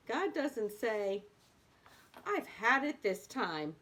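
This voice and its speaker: A woman's voice: on the high side, sing-song, drawn-out words, a bit bright. A woman reading a book aloud expressively, with emphatic phrasing. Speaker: reader of a book aloud for adults.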